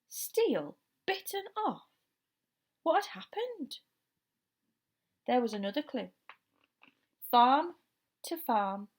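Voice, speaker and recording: A woman with a fairly high voice narrates a children's storybook. She reads like a teacher to a class, phrasing deliberately and dramatically, leaning on questions and drawing out descriptive words. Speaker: teacher reading aloud to children.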